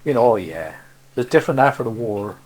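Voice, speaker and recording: A man's voice: medium pitch, lively intonation, brisk, darkish tone, poor audio. An older man from Cornwall with a Cornish accent, reminiscing casually in rambling stories peppered with "see" and "you know". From Cornwall, England. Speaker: interviewee, local dialect speaker.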